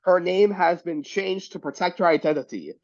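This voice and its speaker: A man with a raspy voice from being sick, stumbling and restarting as he reads aloud and discusses. His pitch sits a little high. The audio is dry and dull. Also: a little mellow, dry recording. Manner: halting, many restarts. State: sick, coughing. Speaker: reading group member. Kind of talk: casual discussion and reading aloud.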